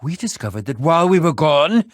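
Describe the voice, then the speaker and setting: An actor voicing an eccentric, excitable middle-aged adventurer in a video game, with a pompous, wordy, theatrical manner. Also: male voice, on the high side, fairly quick, no echo, clean studio sound. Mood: eager. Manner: theatrical, pompous, wordy, swinging from muttering to exclaiming. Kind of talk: animated video game voice acting.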